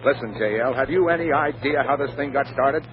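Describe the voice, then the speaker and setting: An actor playing an irascible, exasperated Wall Street brokerage boss, curt, emphatic and sarcastic, in 1940s radio comedy style. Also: male voice, medium pitch, brisk, natural voice. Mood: agitated. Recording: muffled, noisy old radio broadcast.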